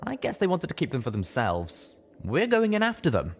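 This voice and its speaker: A man's voice: a little high, wildly swooping pitch, moderate pace, a little hiss or hum, voice pushed up. A man voicing an enthusiastic young mare, a plucky wasteland rescuer in a story reading. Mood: excited, fierce.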